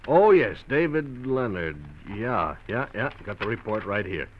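A dull old radio recording of an American police desk sergeant with a low voice. He is offhand and matter-of-fact, talking in casual, clipped phrases. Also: sing-song, a little slow. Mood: offhand, businesslike. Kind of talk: 1940s radio acting.

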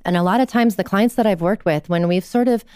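A woman therapist talking as a podcast guest, telling client stories in a lively way and acting out quotes. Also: medium pitch, a little fast, clean tone, no room sound, studio quality. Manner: animated storyteller, acts out quotes. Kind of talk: conversational interview.

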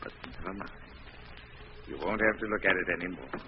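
An actor playing a disfigured, vengeful murderer in an old radio thriller, slow, sinister and taunting. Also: male voice, low-pitched, natural voice. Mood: menacing, gloating. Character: disfigured, vengeful male murderer, menacing and softly gloating. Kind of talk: 1940s radio thriller acting. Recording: muffled, faintly noisy old radio recording.

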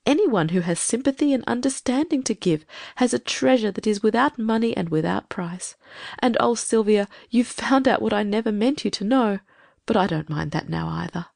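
A proud old spinster, frail after an illness, speaks gently and without hurry, read by a woman. Her gravelly voice is heard close and dry. She sounds like a character in an audiobook. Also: mid-range voice, animated, dry recording. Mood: reflective. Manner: gentle, reflective, unhurried.

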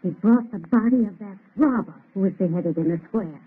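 Actress as fretful housekeeper, husky, old radio. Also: female voice, mid-range voice, a little fast, close to an everyday voice. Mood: worried, fearful. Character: fretful, scolding female housekeeper, anxious and disapproving. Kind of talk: 1930s radio drama acting. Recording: tinny, scratchy old radio broadcast.

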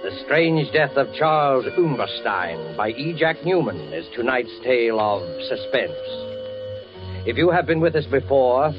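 A man announcing an old radio drama in a formal, measured and ominous way, 1940s style, muffled like an old broadcast over music. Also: medium pitch, sing-song. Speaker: show announcer.

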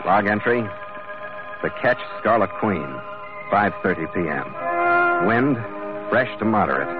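Seasoned skipper in old radio drama, wry n easygoing, lo-fi sound, big music underneath. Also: male voice, fairly low pitch, lively intonation, unhurried. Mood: terse, measured. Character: seasoned sea captain narrator, wry and easygoing. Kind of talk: 1940s radio drama, scripted first-person narration. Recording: muffled vintage radio recording, loud music.

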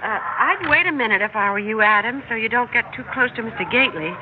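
An actress with an American accent playing a calm suburban housewife and mother who has hunches, in a radio drama. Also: fairly high pitch, fast, noisy background, natural voice. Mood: composed. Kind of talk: radio drama narration and dialogue. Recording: muffled radio recording with prominent music.

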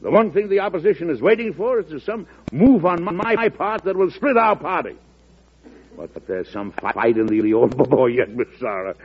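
An actor playing an aging, stubborn former president in an old-time radio drama, emphatic, folksy and self-assured. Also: male voice, a little high, moderate pace, slightly rough. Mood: wry, determined. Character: aging former president, stubborn, commanding, wily political boss. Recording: muffled lo-fi old radio broadcast.

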